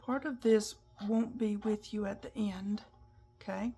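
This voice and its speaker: A woman hosting a papercraft tutorial, talking through each step in a chatty, instructive way while a little croaky. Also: mid-range voice, steady tone, measured pace, dark tone, slight background noise. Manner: chatty, instructive, talks while working with hands. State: croaky, clearing her throat. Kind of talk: casual step-by-step craft demonstration.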